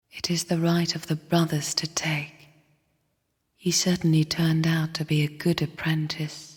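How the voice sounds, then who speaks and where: Video game spirit sister: bitter, solemn, slow-paced, extremely breathy whisper, heavy reverb. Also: female voice, low voice, heavily acted. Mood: bitter, reproachful. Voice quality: breathy, hushed half-whisper. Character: somber, bitter sister spirit of the void, guarding her chambers. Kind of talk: video game character voice lines. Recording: long cavernous reverb.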